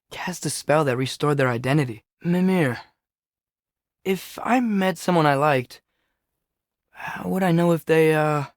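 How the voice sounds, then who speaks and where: A man's voice: a little high, dry recording, studio quality. A young man playing a curious, earnest teenage boy in a Norse myth video game, talking in a natural, slightly hesitant way. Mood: awkward, shy.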